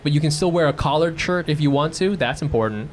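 A man's voice: a little high, quick pace, slight background noise. A man with an American accent hosting a podcast in casual comic banter, joking and swearing lightly. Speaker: podcast host.

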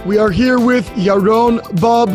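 A man hosting an interview, introducing his guest and asking measured, friendly questions. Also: sits high, a little mellow, faint music behind. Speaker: host. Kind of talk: podcast interview.

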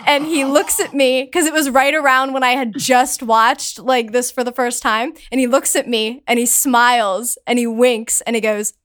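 A young woman who is a podcast guest, telling a story in an excited, gushing way during casual chat. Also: fairly high pitch, normal speed, hardly any pauses.

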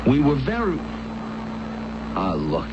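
Anxious pleading husband, swooping intonation, stammering, coaxing, radio drama, noisy muffled old recording. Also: male voice, medium pitch, huge pitch swings, slow. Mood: coaxing, reassuring. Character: anxious, pleading husband, eager to reassure his wife. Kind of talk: 1970s radio drama acting.

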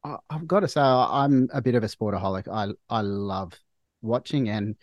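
An Australian man in his forties, a doctor being interviewed, talking in a measured, thoughtful way with frequent restarts. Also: lowish voice, no echo, highs cut off. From Melbourne, Australia. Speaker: guest, hospital doctor. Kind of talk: casual interview chat.

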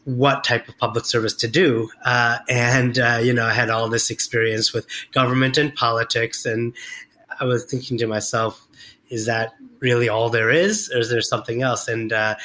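A man's voice: medium pitch, flat delivery, normal speed, bright, forceful tone, rough recording. A middle-aged man answering interview questions in a reflective way, with many fillers and restarts. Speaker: interview guest.

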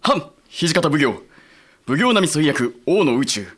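An actor playing an earnest, deferential young samurai aide, polite and excitable, in a period audio drama. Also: male voice, fairly high pitch, a little slow, husky, exaggerated voice. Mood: eager, respectful.

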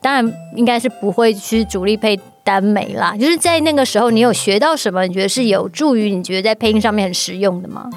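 A woman's voice: mid-range voice, quick pace, few pauses, soft background music. A woman with a Taiwanese accent hosting a casual podcast chat in a playful, teasing manner. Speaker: podcast host.

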